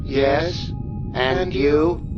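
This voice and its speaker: An actor playing a self-assured Venusian scientist in a radio drama, measured and suave. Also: male voice, on the high side, fairly even intonation, dull audio, music in the background. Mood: smooth, assured.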